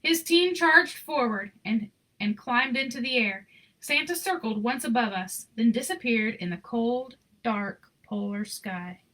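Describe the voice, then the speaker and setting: A woman's voice: fairly high pitch, unhurried, a bit bright, poor audio. A woman reading a children's picture book aloud with dramatic, emphatic phrasing. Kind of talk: expressive children's read-aloud with casual asides.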